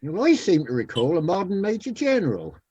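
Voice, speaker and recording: A man's voice: sits high, huge pitch swings, a little fast, muffled recording, crowd noise. A man playing a jokey, know-it-all pub regular with a lisp in a radio comedy. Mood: pedantic, amiable.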